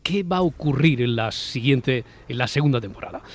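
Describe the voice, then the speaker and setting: A man's voice: mid-range voice, animated, brisk. A man from hosting a casual round-table podcast. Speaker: podcast host.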